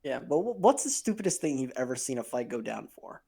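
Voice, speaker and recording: A man's voice: mid-range voice, very animated, brisk, gravelly, mellow, husky. A young man co-hosting a podcast, chatting crudely and casually, rambling, swearing and trailing off mid-sentence. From Filipino heritage. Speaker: podcast co-host.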